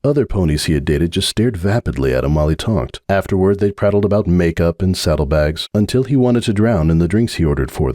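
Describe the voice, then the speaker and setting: A man giving a dramatic reading of a fan story, narrating in a measured, brooding way. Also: deep voice, dark tone, no room sound, studio quality. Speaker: audiobook narrator.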